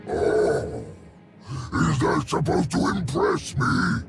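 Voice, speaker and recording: An actor playing a pompous, menacing male Hutt crime lord in a video game, with a deep gravelly drawl. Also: wildly swooping pitch, exaggerated voice. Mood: boastful. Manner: slow, boastful, threatening.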